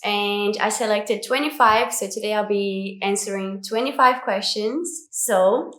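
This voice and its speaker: A woman's voice: mid-range voice, steady tone, a little slow, a bit bright. An echoey room carries the voice of a woman in her twenties. She has an Australian accent, though she is from Brazil and grew up speaking Portuguese. She talks in an upbeat, chatty way, like a vlogger answering questions for her followers. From Brazil, moved to Australia at twelve. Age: 20s. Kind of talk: casual Q&A chat to camera.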